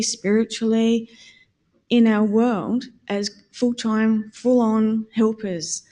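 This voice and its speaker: A woman with a naturally quiet voice presents at a conference, talking to a room of nurses through a microphone she sometimes holds a bit too far away. Her delivery is informal and conversational, with small self-corrections and asides to the audience. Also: fairly high pitch. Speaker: conference speaker, nurse educator. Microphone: handheld or stand microphone, at times held away.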